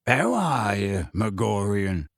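A man voicing a gruff, stubborn, big-hearted half-giant gamekeeper in an audiobook, with a rough voice and blunt, colloquial speech. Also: a little high, very animated, a little slow, a bit bright, no room sound, studio quality, exaggerated voice. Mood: wary, testy. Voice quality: rough, gravelly.

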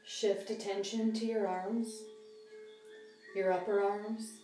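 Mellow, even-toned woman guiding meditation. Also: mid-range voice. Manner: gentle, unhurried instruction with long pauses. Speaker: mindfulness meditation guide. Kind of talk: guided body scan meditation. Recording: distant, roomy sound with soft music.

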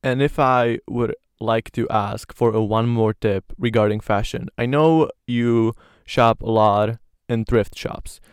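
A man's voice: mid-range voice, clear, resonant tone, no room sound, studio quality. A young man from Slovakia, a native Slovak speaker, hosting a casual podcast interview in an earnest manner full of "like" fillers. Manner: earnest, many "like" fillers, admiring. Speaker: podcast host.

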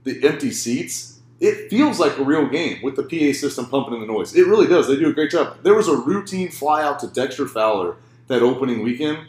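Man, podcast co-host, mid-pitch, jokey and laughing, recorded in a roomy space. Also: expressive, a little fast, few pauses. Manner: laughs often, jokey asides. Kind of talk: casual sports chat.

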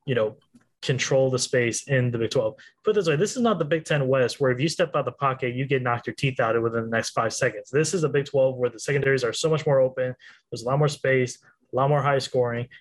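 A man in a casual sports podcast chat, talking at length with a self-deprecating streak. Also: medium pitch. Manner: talkative, self-deprecating, with restarts. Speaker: college football panelist.

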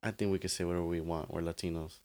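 A young man with a fairly low voice chatting as a podcast co-host. He banters loosely, laughs easily and states his opinions plainly. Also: level tone, rapid-fire, darkish tone. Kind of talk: casual chat.

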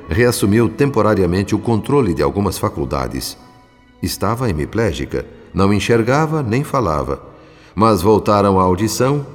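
An actor playing a solemn, compassionate spirit mentor, narrating a radio drama in formal, measured phrases. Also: male voice, deep voice. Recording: long reverb, music underneath.

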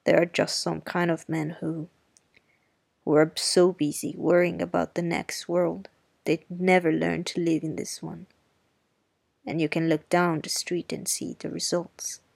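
A woman reading the part of a wry, sharp-tongued middle-aged neighbor, speaking slowly, dryly and grimly. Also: fairly low pitch, little pitch movement, gravelly, no room sound. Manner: slow, dry and grim. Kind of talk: audiobook character reading.

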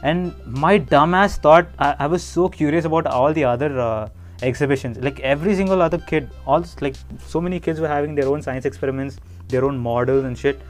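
A man's voice: a little high, fairly quick, soft background music. A young man chatting casually with a friend, rambling through a story with lots of swearing and laughter.

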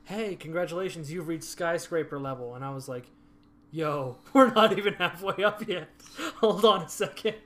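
A man chatting casually about a hike, relaxed and quick to laugh. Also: a little high. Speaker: hiker recounting a trip. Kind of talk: casual chat.

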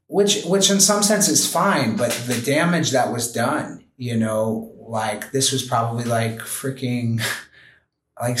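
A man speaking as a podcast guest, earnest and gentle, talking in a reflective way with many fillers like "you know" and "like". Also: mid-range voice, reverberant. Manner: gentle, heartfelt. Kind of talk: reflective interview conversation.

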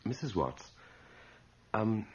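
A man's voice: fairly low pitch, big pitch swings, slow pace, darkish tone, husky, full character voice. A man acting in an old radio horror drama as a brash, broke young man, sceptical yet uneasy, polite and given to hesitant stammering. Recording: dull lo-fi old radio recording.

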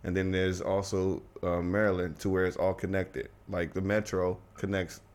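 Deep, flat-voiced man telling his story. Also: moderate pace, smooth. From United States, Washington DC area. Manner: rambling, many restarts and asides. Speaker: guest. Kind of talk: casual conversation.

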